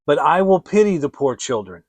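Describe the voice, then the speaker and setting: A man playing a poor, soft-hearted, troubled woodcutter father in a storybook reading, with a pleading manner. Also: fairly high pitch, very animated, moderate pace, no room sound. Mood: anguished.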